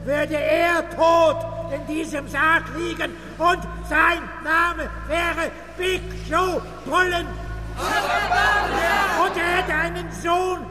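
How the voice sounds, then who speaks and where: An actor playing a fiery male preacher at a funeral, booming out a drawn-out, accusing sermon in a radio drama. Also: a little hiss or hum, full character voice. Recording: echoey hall with loud music.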